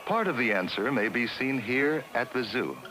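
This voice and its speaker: A man giving measured, scripted narration for a 1960s classroom educational film. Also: medium pitch, lively intonation, noisy background. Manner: measured, explanatory.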